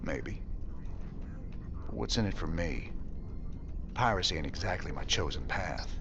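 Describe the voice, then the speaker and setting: A man's voice: low voice, expressive, a little slow, creaky, lo-fi sound, background noise, full character voice. A man playing a weary, wry space commander in a video game, laconic and folksy with an American Southern accent. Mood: wary. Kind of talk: video game cutscene acting.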